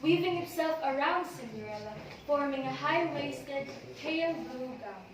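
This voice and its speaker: A woman's voice: sits high, lively intonation, unhurried, a crowd in the background. A woman reading scripted storybook narration as the narrator of an amateur readers' theater. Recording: very echoey room, distant, noisy.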